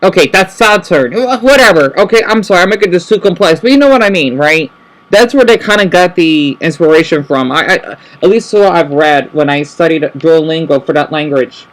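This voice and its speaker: A man with a high voice talks casually to camera and keeps rambling. He corrects himself over and over and tags his points with "right?". He slows right down when he spells out words. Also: expressive, fairly bright tone, low-quality recording. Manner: rambling, self-correcting, frequent "right?" tag questions. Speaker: vlogger.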